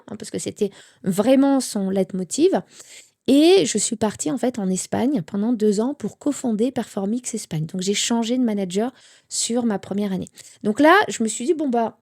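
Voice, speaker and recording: Mellow woman telling her story. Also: a little high. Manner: animated storytelling with quoted dialogue. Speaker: interview guest. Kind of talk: candid personal story.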